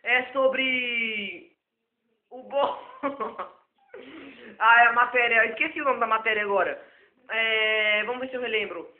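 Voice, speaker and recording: Hoarse man, very high voice, rambling tutor, tinny and echoey. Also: unhurried, long pauses. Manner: rambling and repetitive. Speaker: self-taught computer tutor. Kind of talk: improvised spoken tech lesson. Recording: echoey, phone-like lo-fi recording.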